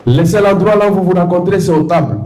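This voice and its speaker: A man preaching in a church, pausing for an interpreter, emphatic and stammering at times, with sing-song calls. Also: high-pitched, warm tone, a little hiss or hum. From Congo. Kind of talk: sermon with interpreter. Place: church hall. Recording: echoey church with congregation.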